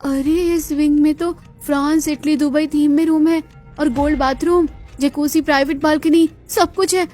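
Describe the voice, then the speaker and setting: A woman's voice: sits high, fairly even intonation, normal speed, a little mellow, faint music behind, close to an everyday voice. A woman voicing a naive, wide-eyed young village bride in a Hindi moral-story cartoon, full of questions and exclamations. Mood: amazed.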